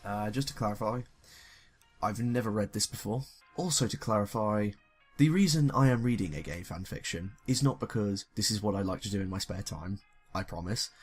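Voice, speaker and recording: A young man reading a story casually, with sweary, self-deprecating joking asides. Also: lowish voice. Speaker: YouTuber reading fan fiction.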